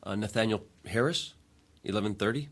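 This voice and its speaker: An actor playing an eager, harried young job seeker in a radio drama, polite and a little hesitant. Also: male voice, lowish voice, brisk, background noise, lightly acted. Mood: polite, nervous. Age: young adult.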